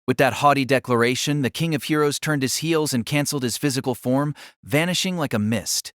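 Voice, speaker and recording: A man's voice: mid-range voice, brisk, a bit bright, dry recording, studio quality. A man reading scripted dramatic story narration as the narrator of an audio drama.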